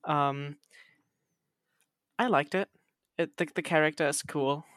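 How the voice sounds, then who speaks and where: Podcast host, man with a fairly high voice, meandering and halting, close dry sound. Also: a bit bright, clean tone, no room sound. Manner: rambling, hesitant storyteller with fillers and restarts. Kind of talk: casual chat.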